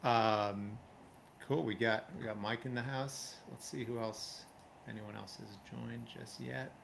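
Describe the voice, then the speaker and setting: A man's voice: medium pitch, fairly even intonation, a little slow, gravelly, noisy background. A man hosting a casual livestream, friendly and chatty, laughing often. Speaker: livestream host. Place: home, now living in Spain.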